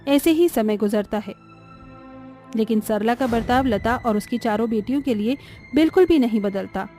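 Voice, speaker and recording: Female narrator for scripted family tales, mellow timbre, raised pitch, quiet music bed. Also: moderate pace.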